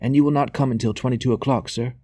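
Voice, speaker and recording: A man's voice: medium pitch, fairly even intonation, fast talker, mellow, husky, dry recording, studio quality, voice pushed up, natural voice. A man voicing a nervous, servile male messenger in a novel, hasty and stammering as he pleads. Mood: nervous, urgent.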